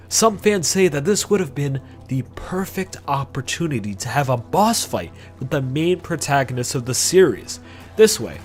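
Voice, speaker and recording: A man narrating a scripted video essay about games in an emphatic, conversational way with sarcastic asides. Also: fairly high pitch.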